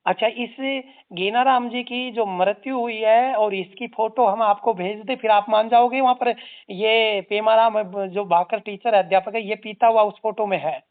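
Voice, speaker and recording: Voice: mid-range voice, steady tone, normal speed, few pauses. Stern lecturer on a tinny phone line. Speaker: anti-drug social organisation activist. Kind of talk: formal admonishing phone call. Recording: tinny narrowband phone-call recording.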